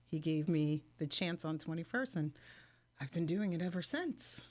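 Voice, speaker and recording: A woman's voice: low voice, lively intonation, normal speed, a little mellow. A middle-aged woman from New York, a podcast guest, chatting casually and recollecting her working life. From New York (Queens, Long Island). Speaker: film producer, podcast guest. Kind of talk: casual chat, personal recollection.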